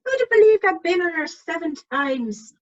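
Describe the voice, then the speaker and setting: Woman playing forgetful cruise regular, high voice, chatty, self-deprecating, dull meeting-call sound. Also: big pitch swings, normal speed. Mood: chatty, wry. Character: chatty, forgetful, seasoned single cruise passenger. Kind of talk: amateur radio comedy acting. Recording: dull online meeting audio.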